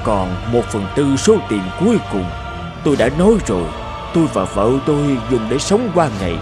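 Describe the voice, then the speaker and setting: An actor playing a poor but clever graveyard keeper in a cartoon, explaining patiently and respectfully. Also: male voice, mid-range voice, very animated, normal speed, lightly acted. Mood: earnest, explaining. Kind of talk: dubbed cartoon fairy tale acting. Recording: music behind the voice.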